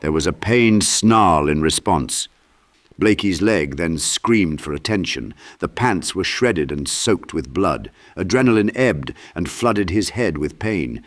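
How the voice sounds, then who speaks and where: A man's voice: low-pitched, expressive, unhurried, dry recording. A man reading a horror audiobook as a narrator, in a theatrical, intense storytelling manner. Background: sound effects with clicks.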